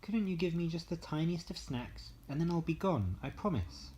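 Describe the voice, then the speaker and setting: A man voicing a naive, earnest, excitable young boy adventurer in a bedtime story. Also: on the high side, moderate pace, slight background noise, voice pushed up, close to an everyday voice. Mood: pleading.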